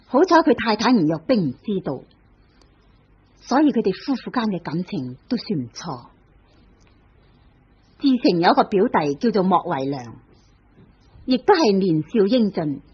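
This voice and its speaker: A woman's voice: mid-range voice, very animated, unhurried, pauses often, husky. A woman with a Hong Kong Cantonese accent narrating an old radio drama in a storytelling manner. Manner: storytelling, lilting delivery. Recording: dull old radio broadcast.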